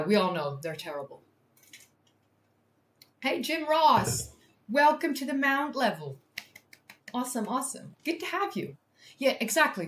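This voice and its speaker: A Dutch woman hosting a science livestream, speaking English as a second language with a Dutch accent, reading from a study and chatting to viewers in an excitable, self-deprecating way with casual swearing. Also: medium pitch. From Netherlands. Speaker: science communicator and livestream host.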